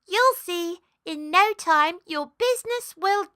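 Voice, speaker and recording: A woman voicing a small, stubborn, wheedling male mouse in a squeaky voice for a children's storybook. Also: expressive, takes it slowly, pushed and bright, no echo, clean studio sound, heavily acted. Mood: pleading, wheedling. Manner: pleading, eager.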